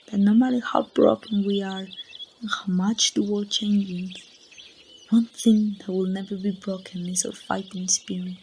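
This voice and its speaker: A woman playing a grieving young woman saying goodbye to a lost loved one in an ASMR roleplay, speaking softly and haltingly, at times near a whisper. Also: medium pitch. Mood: solemn, encouraging. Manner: soft, halting, breathy delivery slipping toward whispers. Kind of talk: amateur ASMR roleplay monologue. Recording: outdoor ambience with birdsong, faint noise.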